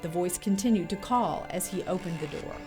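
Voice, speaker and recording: Composed woman narrator over clear music. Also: fairly low pitch, a little fast. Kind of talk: scripted storytelling narration for radio drama.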